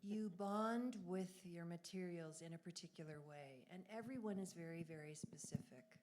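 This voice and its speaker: A woman's voice: fairly low pitch, moderate pace, a little mellow, slight background noise. Reflective woman painter in an artist talk. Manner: earnest, reflective, speaks with conviction. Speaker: abstract painter. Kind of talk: public artist talk answering questions. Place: gallery event space.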